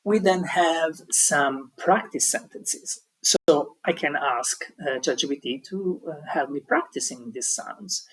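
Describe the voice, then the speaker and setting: Husky man giving a hesitant tutorial. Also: a little high, takes it slowly, fairly bright tone, poor audio. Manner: hesitant, many ums and restarts. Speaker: language teaching tutor. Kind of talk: explaining an online tutorial.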